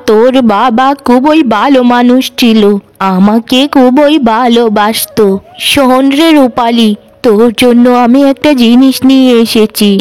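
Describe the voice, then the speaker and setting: A woman voicing a gentle, motherly old beggar woman in a cartoon, with drawn-out, affectionate speech. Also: a little high. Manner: slow, drawn-out calling and affectionate address.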